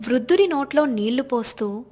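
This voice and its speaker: High-voiced woman narrating a story. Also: expressive, a little fast, dark tone, faint music behind.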